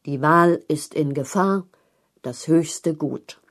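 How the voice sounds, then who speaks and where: A woman narrating a written news feature in a measured, articulate read-aloud style. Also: sits low. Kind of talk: scripted narration of long-form journalism.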